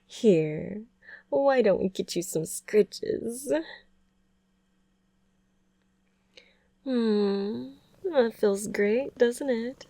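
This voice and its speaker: A doting yandere witch, acted by a woman in an intimate ASMR roleplay. She talks slowly in a dry, close sound, cooing and coaxing. Her sweetness is condescending and possessive, with a sinister edge. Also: on the high side, warm tone, no room sound. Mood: cooing, adoring. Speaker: ASMR voice performer.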